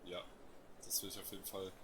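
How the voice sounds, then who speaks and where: A man's voice: deep voice, flat delivery, fast talker, slight background noise. A man, a native German speaker, doing casual live commentary as a game streamer. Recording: loud game music behind voice. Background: game music.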